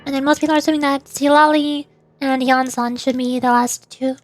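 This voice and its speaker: A woman's voice: high voice, fairly even intonation, a little fast, faint music behind. A woman streamer giving chatty, rambling, casual commentary on a game. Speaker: streamer, VTuber.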